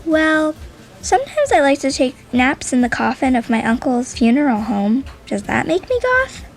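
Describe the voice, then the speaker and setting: A teen goth girl, high voice, blunt and defensive, earnest, audio drama. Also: big pitch swings, moderate pace, vocal fry, soft background music, full character voice. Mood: sulky. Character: moody teenage girl, young goth outsider.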